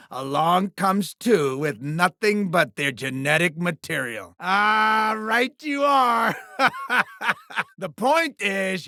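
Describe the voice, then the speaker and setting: A man's voice: sits high, pushed and bright, no room sound, studio quality, heavily acted. A man playing a sleazy, bombastic alien TV mogul villain in a video game, with theatrical, condescending showbiz patter. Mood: blustering, nostalgic.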